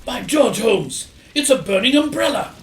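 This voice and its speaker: A man acts a fussy, loyal gentleman sidekick in an audio detective play. His voice sits high and jumps about animatedly, full of proper bluster. It is recorded in an echoey space with faint noise. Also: sing-song, normal speed. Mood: excited. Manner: blustery, proper, old-fashioned exclamations. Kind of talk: audio theatre detective drama. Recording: roomy echo, faint noise and clicks.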